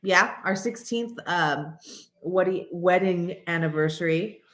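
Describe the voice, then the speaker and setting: A woman in her late thirties, stuffy and nasal from allergies, chatting casually and rambling to her viewers while often clearing her throat. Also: lowish voice, slow pace, a bit bright, roomy sound. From American. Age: 30s. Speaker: channel host. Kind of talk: casual vlog chat.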